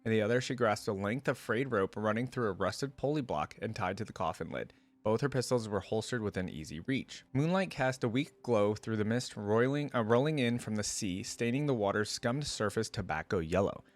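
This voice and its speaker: A man's voice: fairly low pitch, lively intonation, a little fast. A man hosting a reaction video, reading a story aloud and breaking off for casual, slangy commentary.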